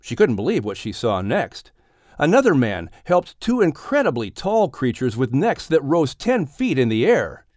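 A man narrating a children's audiobook story in an expressive, scripted storytelling style. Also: medium pitch, moderate pace, dry recording, studio quality.

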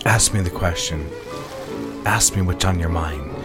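Period romance husband, male actor: man, deep voice, devoted yet guarded, formal and courteous, music clearly underneath. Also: measured pace. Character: devoted, guarded new husband with troubled past. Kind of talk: audio drama dialogue, period romance.

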